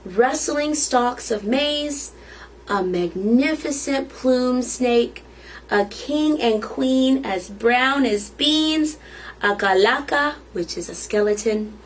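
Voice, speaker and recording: A woman's voice: high voice, takes it slowly, slight background noise, rough recording. A woman reading a children's picture book aloud as a storytime reader, expressively and with questions to the listeners.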